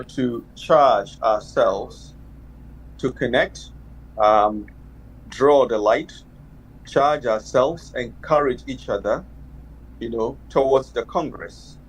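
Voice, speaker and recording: A man's voice: mid-range voice, slow pace, a little hiss or hum, poor audio. A man from Canada at a group workshop, speaking in an earnest, encouraging way. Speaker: workshop participant.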